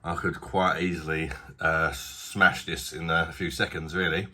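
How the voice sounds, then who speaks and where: A British man with a low, slightly husky voice that still has a bright, forceful edge. He chats casually and rambles a little, poking fun at himself. He sounds a touch bunged up from a blocked nose. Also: fairly even intonation, a little slow. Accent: British English. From Britain. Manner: chatty, rambling, self-deprecating. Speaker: beer reviewer. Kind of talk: casual video review.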